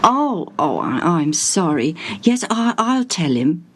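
A woman voicing a tearful, devoted elderly female servant who speaks high and quavering, close to sobs. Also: big pitch swings, takes it slowly, fairly bright tone, full character voice. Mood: flustered.

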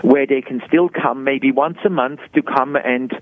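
A man from Switzerland, a guest explaining his health program in an earnest, explanatory way, often pausing and restarting sentences. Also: mid-range voice, no echo. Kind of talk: conversational interview answers. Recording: dry, phone-like narrow sound.

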